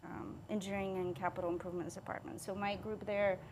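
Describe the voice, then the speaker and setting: A middle-aged woman from Bulgaria, a city engineering manager recounting her career in a podcast interview, upbeat with many "um" pauses. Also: medium pitch, little pitch movement, noisy background. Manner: upbeat, with frequent "um" fillers.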